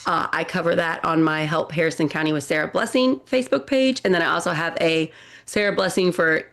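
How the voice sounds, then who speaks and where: A woman's voice: lowish voice, brisk, vocal fry, fairly bright tone. A woman in her forties from Indiana, a political candidate, talking in an earnest, eager way as a podcast guest. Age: 40s. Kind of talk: casual interview chat.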